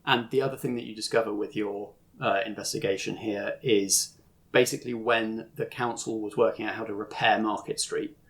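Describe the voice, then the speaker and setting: Male game master, lower register, informal explanatory narration for tabletop roleplay. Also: fairly even intonation, moderate pace.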